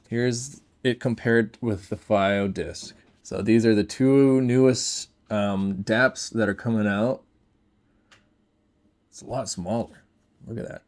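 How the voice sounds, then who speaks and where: A man's voice: on the low side, a little mellow. A man giving casual, unscripted product commentary, thinking aloud in short, informal remarks as a reviewer.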